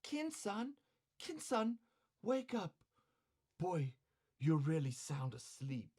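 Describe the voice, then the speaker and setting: A man voicing a wily woman courtesan in a comic story, soft-spoken, coaxing and chiding with reproach. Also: high voice, sing-song, takes it slowly, husky, voice pushed up, exaggerated voice.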